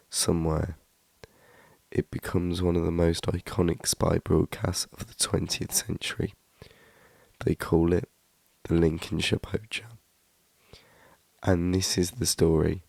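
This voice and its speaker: A British man, the channel's host, reading a scripted documentary narration in a measured, hushed way that drops to a whisper at times. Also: low-pitched, vocal fry, dry recording.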